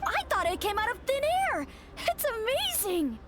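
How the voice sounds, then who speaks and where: A woman's voice: very high voice, very animated, normal speed, very noisy. A woman voicing an eager, curious small child in an anime-style video game.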